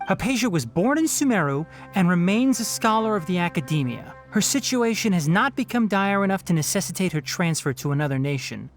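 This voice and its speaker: Firm young scholar, expressive pitch. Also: male voice, soft background music. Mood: firm, disapproving. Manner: measured, formal, firm. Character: young, earnest, principled scholar and caregiver, guarded. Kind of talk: video game voice acting.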